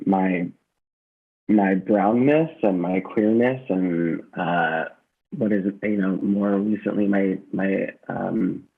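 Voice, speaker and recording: Male interview guest, fairly low pitch, reflective and sincere, feeling for words, tinny call-quality audio. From San Francisco Bay Area, United States. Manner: earnest, thoughtful, halting with repeated words. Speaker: gym founder, interview guest. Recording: muffled phone-like call audio.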